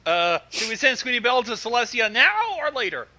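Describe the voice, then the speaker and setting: Co-reader chiming in on a story, bright sharp voice, bouncy n wry, casual asides. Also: mid-range voice, expressive, fairly quick, a little hiss or hum. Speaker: co-reader adding commentary. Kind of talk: casual reactive commentary on a story reading.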